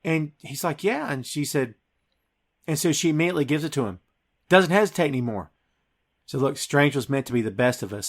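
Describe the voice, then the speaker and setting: A man's voice: mid-range voice, gravelly. A man hosting a podcast, retelling a film scene by scene in an enthusiastic, emphatic conversational way. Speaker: podcast host.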